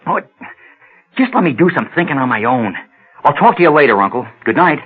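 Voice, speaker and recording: Earnest, stammering reluctant candidate, pained, lively pitch swings, radio drama, dull old-recording sound. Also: male voice, a little fast, slightly rough. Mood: earnest, unsure. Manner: hesitant, stammering, sincere. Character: earnest, clean-living man pained by headaches, reluctant political candidate.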